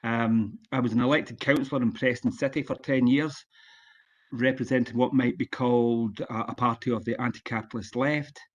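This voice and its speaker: A Scottish man in his sixties giving an online conference lecture as a guest speaker, plain-spoken and relaxed, with frequent ums and uhs. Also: mid-range voice, moderate pace. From Scotland. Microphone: video call.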